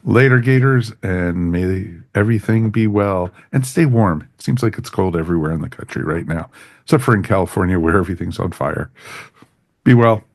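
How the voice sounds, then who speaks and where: A man's voice: sits low, moderate pace, vocal fry, no echo, clean studio sound. A man hosting a podcast in a casual, informal way, chatting through plugs and sign-offs with folksy catchphrases. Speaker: podcast host.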